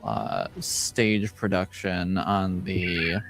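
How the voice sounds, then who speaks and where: A man playing a shady tavern informant in a fantasy game, dry and knowing. The voice is low and unhurried, blunt in its wry remarks. Music plays behind it. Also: sing-song, vocal fry, fairly bright tone, exaggerated voice. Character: shady gold-toothed male tavern informant, wry, worldly.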